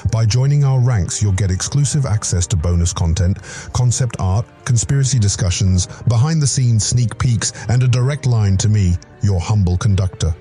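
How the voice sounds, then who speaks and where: A man's voice: on the low side, normal speed, dark tone, faint music behind. Earnest man reading a podcast promo. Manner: earnest, grandiose cosmic phrasing. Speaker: podcast creator and host. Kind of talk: scripted promo read.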